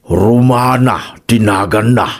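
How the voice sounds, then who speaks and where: A man's voice: on the low side, slow, vocal fry, heavily acted. A man playing a drowsy, teasing middle-aged village father in a comedy radio drama, with a Filipino Ilocano accent.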